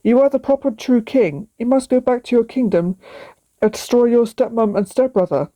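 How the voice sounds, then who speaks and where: A woman voicing an excitable, loyal little friend of a dragon prince in a read-aloud storybook. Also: on the high side, normal speed, dry recording, close to an everyday voice, poor audio. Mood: urging.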